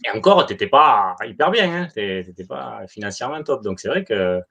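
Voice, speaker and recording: A man debating casually as a football supporter, hesitant and halting, often repeating himself. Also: mid-range voice, sing-song, gravelly, bright, forceful tone, husky. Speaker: football supporter in a live online fan debate.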